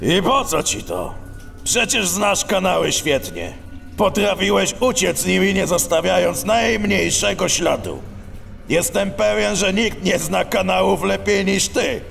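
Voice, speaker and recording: A stern, tired animal police chief in a children's radio drama. The voice is heavily gravelly yet high for a male, terse and grudging. It echoes in a long reverb. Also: animated, a little slow, fairly bright tone, heavily acted. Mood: wary, firm. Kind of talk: children's audio drama acting. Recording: long cavernous reverb, music behind.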